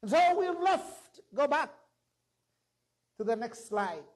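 Slow husky preacher, high-pitched man. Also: very animated. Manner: slow, emphatic preaching with rising exclamations. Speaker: pastor. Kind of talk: sermon. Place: church stage. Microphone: handheld mic.

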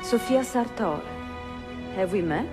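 A gracious young Italian bookseller played by an actress speaks with an Italian accent and lively, varied pitch. She is polite and spirited. Game music is clearly heard behind her. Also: a little slow, big-room sound, close to an everyday voice. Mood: welcoming. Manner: polite, welcoming. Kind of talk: cinematic video game acting.

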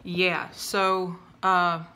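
A young woman rambling in a casual vlog rant, with a loud, projecting voice, chatty and prone to wandering off and interrupting herself, recorded on a phone held at arm's length in a room at home. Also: fairly low pitch, little pitch movement, very slow, smooth. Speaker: vlogger. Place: indoors by large windows, home room. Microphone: phone camera at arm's length.

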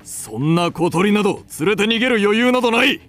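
A cold, haughty nobleman in an audio drama. His voice is on the high side and very animated, with curt, commanding delivery. Music plays faintly behind. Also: fairly quick. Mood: urgent. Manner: imperious, curt. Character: haughty, cold middle-aged male aristocrat. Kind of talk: Japanese radio drama acting.

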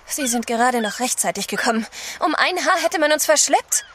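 A woman's voice: high-pitched, lively intonation, brisk, fairly bright tone, slight background noise. An actress playing a spirited, devoted female adventurer in an audio drama. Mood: relieved.

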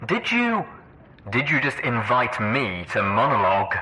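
Man playing a bright-voiced, theatrical pony villain. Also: fairly low pitch, big pitch swings, slow, echoey room, a little hiss or hum, exaggerated voice, rough recording. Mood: smug, amused. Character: confident, theatrical pony villain, calm schemer fond of monologue. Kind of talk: audio drama acting.

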